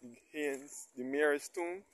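A man giving a relaxed guided walking tour, recorded on a handheld camera as he moves from a churchyard into a large church. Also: a little high, measured pace. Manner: relaxed, pointing things out as he walks. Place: outdoors in a churchyard, then inside a large church.

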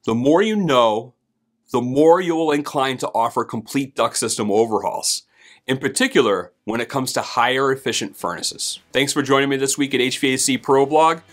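Steady man explaining to camera. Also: medium pitch, normal speed. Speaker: host and HVAC trainer. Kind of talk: scripted instructional explainer. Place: home office, indoors.

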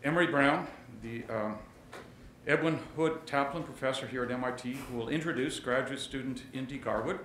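A man's voice: medium pitch, moderate pace, slight background noise. Older man chairing a session in a roomy hall. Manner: genial, loud, says he has a big voice. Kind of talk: introducing speakers at a conference. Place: lecture hall at a podium. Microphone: podium microphone.

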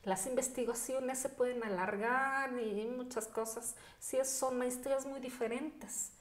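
A woman's voice: high-pitched, steady tone, unhurried. A woman in her thirties with a Mexican Spanish accent, telling personal stories emphatically as a podcast guest. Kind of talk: conversational interview, personal storytelling. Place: indoor dining room. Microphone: podcast microphone on table stand. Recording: echoey room.

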